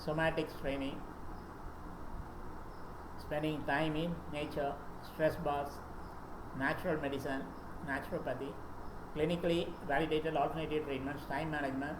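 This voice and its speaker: A man's voice: on the high side, flat delivery, normal speed, long pauses. A man with an Indian English accent, a native Tamil speaker, giving a presentation and reading out lists with occasional fillers and restarts. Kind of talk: lecture presentation. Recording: echoey room with background noise.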